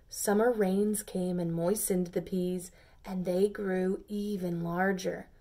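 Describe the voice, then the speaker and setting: A woman reading a picture book aloud to children, with gentle, chatty asides to her listeners. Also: medium pitch. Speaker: storybook reader.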